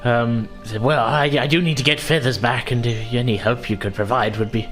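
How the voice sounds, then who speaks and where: Male game master, mid-pitched and hesitant in his storytelling, with prominent background music. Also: normal speed, a bit bright. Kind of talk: narrating a tabletop game. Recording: music playing behind the voice.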